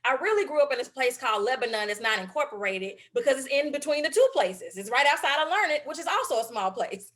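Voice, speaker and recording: A woman hosts a relaxed interview, her voice sitting somewhat high. She chats easily, affirming her guest and reminiscing about home. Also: fast talker, few pauses. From Mississippi. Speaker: interview host. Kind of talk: casual interview conversation.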